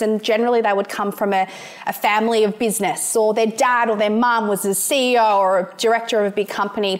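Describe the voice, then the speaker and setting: Casting: young Australian businesswoman, self-assured, musing, loose conversational delivery. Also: a little high. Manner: confident, reflective, many ums and "you know". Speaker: entrepreneur guest. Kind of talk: interview answers.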